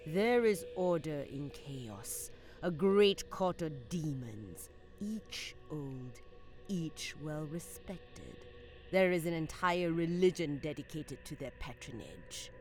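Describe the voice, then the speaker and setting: An actress playing a calm, cryptic occult seer in an audio drama, soft and measured. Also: female voice, low-pitched, soft background music. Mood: coaxing.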